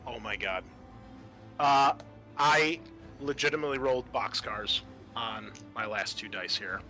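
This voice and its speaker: A man narrates a tabletop roleplaying session as game master. His voice is bright and forceful at an average pitch, and his wording is casual and profane. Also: unhurried, faint music behind.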